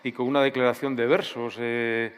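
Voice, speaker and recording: A man's voice: mid-range voice, flat delivery, moderate pace, clean tone, big-room sound. A man interviewing a writer at a literature festival, building long questions with hesitations.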